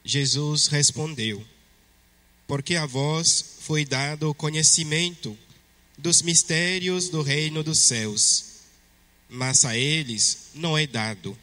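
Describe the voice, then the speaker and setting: A man from Togo with a French-speaking West African accent, a priest reading the Gospel and preaching at Mass in a measured way. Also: on the high side, pushed and bright. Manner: slow, measured liturgical delivery. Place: church. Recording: long church reverb.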